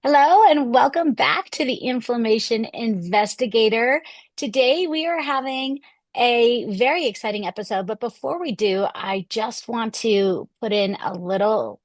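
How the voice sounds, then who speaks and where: Hoarse woman hosting a podcast. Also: a little high, measured pace, no echo. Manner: enthusiastic, chatty, with fillers. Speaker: podcast host.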